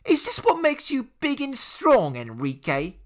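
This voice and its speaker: A man's voice: very high voice, huge pitch swings, unhurried, pitched above the performer's own voice, exaggerated voice. A man voicing a cocky teenage boy bully in an audiobook, with a mocking, sneering manner. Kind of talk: audiobook character voice.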